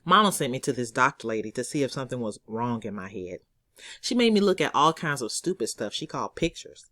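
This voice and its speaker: A woman reading her own novel aloud as expressive first-person narration, in a conversational, sassy storytelling manner. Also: sits low, no room sound, clean studio sound.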